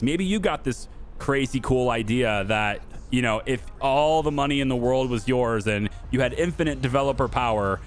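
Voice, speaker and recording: A man's voice: mid-range voice, a little hiss or hum. A man hosting a gaming video, talking casually and off the cuff to viewers in a chatty, rambling way. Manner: chatty, rambling, thinking aloud, addressing viewers and developers directly.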